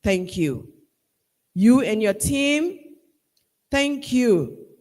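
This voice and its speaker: Liberian guy giving thanks at a big ceremony, high voice, slow and emphatic, lots of hall echo. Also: expressive, darkish tone. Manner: measured, emphatic, rallying the audience. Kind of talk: formal ceremonial speech. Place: large hall at a university ceremony. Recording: long reverb of a large hall.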